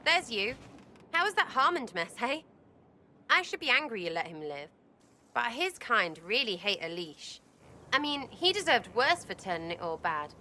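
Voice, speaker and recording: A woman's voice: a little high, big pitch swings, moderate pace, pushed and bright, a little hiss or hum. An actress with an English accent playing a cheeky, blunt, hot-tempered young female rogue in a video game. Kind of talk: video game cutscene acting.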